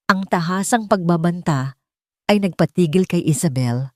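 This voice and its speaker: Low-voiced woman narrating drama. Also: lively intonation, normal speed, darkish tone, no room sound, clean studio sound. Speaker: audiobook narrator. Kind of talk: scripted dramatic romance narration.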